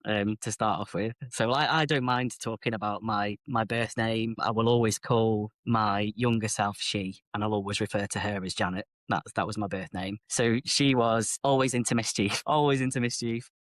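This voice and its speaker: A man's voice: lowish voice, fairly even intonation, normal speed, few pauses, fairly bright tone, no room sound, studio quality. A middle-aged man, telling his life story as a podcast guest in an open, reflective, chatty way. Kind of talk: candid personal storytelling.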